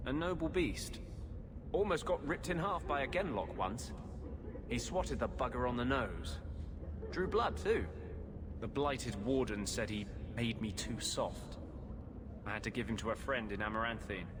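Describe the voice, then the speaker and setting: An actor playing a weary, sardonic runaway mage healer in a video game, with a dramatic delivery. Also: male voice, mid-range voice, animated, unhurried, noisy background, lightly acted. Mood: bitter, wry. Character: weary, wry runaway warden mage healer, guarded young man. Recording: music behind the voice.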